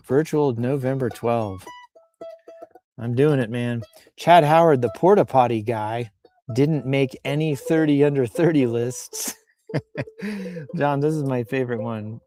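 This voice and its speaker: A man with a mellow, relaxed voice riffs casually as he reads aloud, with faint music behind him. His delivery is playful and loose, wandering into off-the-cuff comic asides. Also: mid-range voice. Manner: playful, rambling, breaks off into asides and chuckles. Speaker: host reading and riffing on social media posts. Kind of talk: casual, improvised comic commentary.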